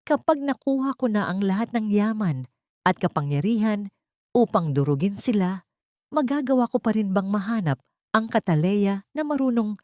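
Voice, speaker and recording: A woman's voice: mid-range voice, animated, moderate pace, mellow, dry recording, clean studio sound, pitched above the performer's own voice, lightly acted. A woman voicing a cold, authoritative young heiress bent on revenge in an audio story. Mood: doubtful.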